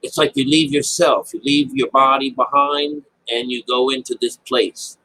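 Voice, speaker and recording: A man with a husky voice giving informal, unscripted Bible commentary, rambling, repeating phrases and checking in with his listeners. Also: medium pitch, steady tone, normal speed, pushed and bright, slight background noise, poor audio. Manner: rambling explanation with frequent check-ins like "all right?" and "okay?". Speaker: Bible teacher.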